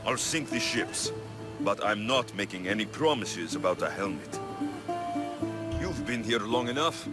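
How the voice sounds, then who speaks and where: An actor playing a cocky, flirtatious and fearless Greek mercenary in a video game, confident and teasing. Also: male voice, on the low side, expressive, unhurried, over music. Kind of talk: video game cutscene acting.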